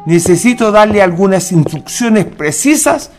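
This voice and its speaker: Male actor as commanding president, radio drama, solemn, widely swooping intonation, slow delivery, music behind. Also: high-pitched, lively intonation, a little mellow. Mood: indignant, formal. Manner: solemn, deliberate, formal. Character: grave, commanding Chilean president, formal and alarmed.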